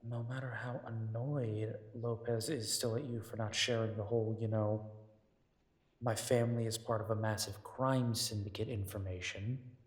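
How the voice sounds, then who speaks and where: Male actor as caring detective boyfriend, lowish voice, slightly husky, earnest, gently coaxing, weary, intimate audio drama. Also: fairly even intonation, normal speed, dry recording. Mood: earnest, exasperated.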